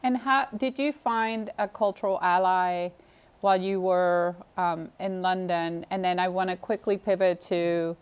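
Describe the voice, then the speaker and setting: A woman's voice: lowish voice, fairly even intonation, unhurried, smooth. A woman hosting a television interview in a studio, speaking earnestly and wordily, with affirming remarks. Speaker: TV talk show host.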